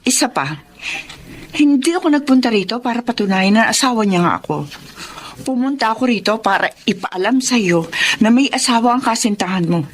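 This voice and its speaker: A woman's voice: on the high side, lively intonation, slight background noise, close to an everyday voice. A woman playing a composed, resolute wronged wife in a radio drama, speaking emphatically with a Filipino accent. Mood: firm, earnest. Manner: deliberate, emphatic. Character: composed, resolute wronged wife warning her husband's girlfriend.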